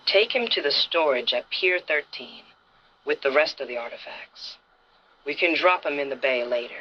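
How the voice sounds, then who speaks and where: Woman as a scheming widow villain, detective radio play, low voice, composed, formal, muffled sound. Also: lively intonation, normal speed, slight background noise. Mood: cold menace. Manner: composed, formal, coolly menacing. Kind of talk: old-time radio drama acting.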